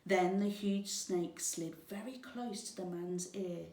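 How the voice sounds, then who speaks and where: Female storybook narrator, teacherly, deliberate unhurried pace, distant roomy recording. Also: fairly low pitch. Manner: deliberate, expressive read-aloud. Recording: roomy, echoing, distant microphone.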